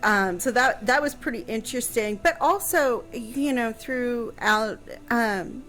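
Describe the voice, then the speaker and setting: A woman with a fairly high voice explains history in a casual, conversational way. Her delivery is halting and searching, with music behind her. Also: a bit bright. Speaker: historian guest answering questions on a radio show.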